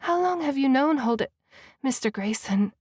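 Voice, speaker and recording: A woman's voice: high-pitched, lively intonation, moderate pace, darkish tone, husky, no echo, studio quality, pitched above the performer's own voice. Woman voicing a polite, tired young heroine. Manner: polite, slightly hesitant.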